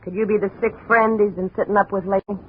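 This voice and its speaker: An actress playing a brassy, sardonic American gold digger in a 1950s radio drama, sassy and slangy. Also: female voice, medium pitch, little pitch movement, fast, lightly acted. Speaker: actor. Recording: muffled, hissy 1950s radio recording.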